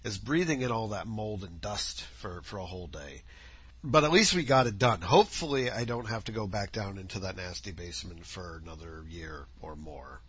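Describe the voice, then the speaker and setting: A man with a husky, scratchy voice, chatting alone into a home mic, lively in pitch with a bright, forceful tone. He rambles, corrects himself and drifts between topics, recorded dull and lo-fi. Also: normal speed. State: scratchy voice from dust. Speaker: podcast host. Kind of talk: casual solo podcast chat. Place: home, outdoor noises faintly heard.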